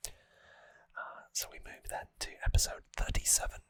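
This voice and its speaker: An ASMR host whispering an informal recap close to the microphone, in short phrases with hesitant pauses. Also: pushed and bright, no echo.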